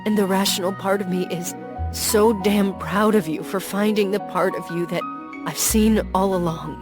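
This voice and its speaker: A woman's voice: mid-range voice, fairly even intonation, normal speed, over music, natural voice. Woman voicing an anguished heartbroken young man. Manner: raw, pleading, rising to anguished outbursts. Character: heartbroken young man pouring out jealous love.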